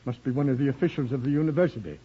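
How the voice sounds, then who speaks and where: An American actor playing a formal, anxious, injured college dean in 1940s radio style. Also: male voice, medium pitch, racing, slightly rough, natural voice. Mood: anxious, insistent. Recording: muffled old radio recording.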